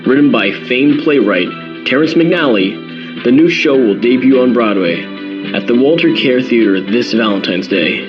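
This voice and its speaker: A man's voice: mid-range voice, lively intonation, moderate pace, lo-fi sound, over music, close to an everyday voice. A man playing a genial morning television host, reading scripted news in presenter style. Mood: upbeat, informative. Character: genial male American breakfast television host.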